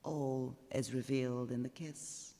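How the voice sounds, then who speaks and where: A man reading a literary ghost story live as narrator, in a measured, deliberate and dramatic manner. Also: medium pitch, steady tone, vocal fry, mellow. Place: indoors, stone chapel. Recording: reverberant chapel live recording.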